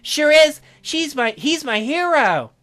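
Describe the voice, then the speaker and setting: Man as touchy young nerdy woman in a visual novel game: high, bright, indignant, emphatic comic-book fan. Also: big pitch swings, faint music behind, heavily acted. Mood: proud. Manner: indignant, emphatic, fast-swinging.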